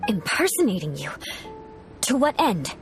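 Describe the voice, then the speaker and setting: A woman's voice: mid-range voice, very animated, measured pace. A woman voicing a haughty, forthright young knight in a video game, formal, imperious and sternly suspicious. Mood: skeptical. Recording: faint music behind the voice.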